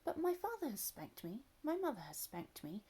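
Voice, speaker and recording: Woman voicing a high, eager, very polite elephant boy for a storybook, husky edge. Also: huge pitch swings, moderate pace, mellow, heavily acted. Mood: earnest, polite. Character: young polite curious elephant calf, male.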